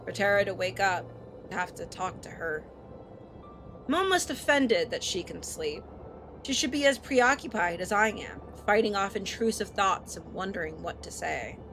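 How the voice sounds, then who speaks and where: A woman's voice: mid-range voice, animated, normal speed, a bit bright, rough recording. Woman streamer reading aloud over music. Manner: casual, profane, playful. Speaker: streamer hosting a visual novel read-aloud. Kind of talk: live stream reading aloud with casual asides.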